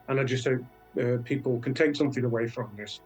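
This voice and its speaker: A British man speaking as a guest author in a conversational interview, halting and reflective, often trailing into asides. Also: mid-range voice, steady tone, darkish tone, faint music behind, rough recording. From Britain.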